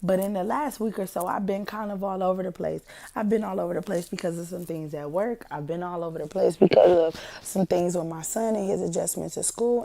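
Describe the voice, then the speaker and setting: A young woman hosting her own podcast while sick, her voice hoarse and partly gone, chatting folksily with listeners she calls family. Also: lowish voice, mellow. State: partially lost voice from sickness. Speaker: podcast host. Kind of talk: casual motivational podcast talk.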